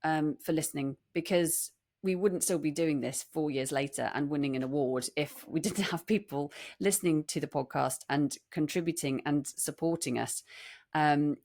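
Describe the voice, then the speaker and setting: A middle-aged British woman with a slightly husky voice, chatting casually and jokily as a rambling podcast co-host. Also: low-pitched, normal speed, darkish tone. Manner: jokey, rambling. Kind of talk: casual chat with thank-yous.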